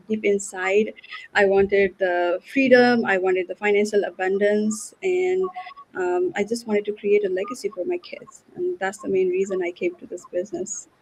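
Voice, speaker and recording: A woman's voice: medium pitch, slightly rough, low-quality recording. Earnest woman from Nepal on a call. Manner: earnest, repeats words as she thinks. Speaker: guest, nurse and business owner. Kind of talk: live online interview.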